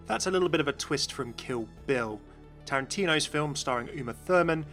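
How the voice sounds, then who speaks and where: A man's voice: a little high, expressive, fairly quick, a bit bright, soft background music. A man giving upbeat, informative explanatory commentary as narrator of a video game channel, recorded close on mic.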